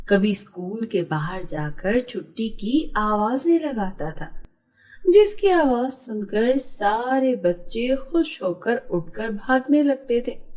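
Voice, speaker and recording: A woman narrates a children's story from a script. Her voice is fairly high, and she takes her time, telling the tale at a very slow pace. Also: a little mellow.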